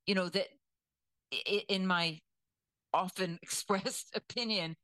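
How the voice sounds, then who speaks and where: A woman giving conversational news commentary in a measured, deliberate way, weighing her words as she goes. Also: medium pitch, pushed and bright, no echo, studio quality.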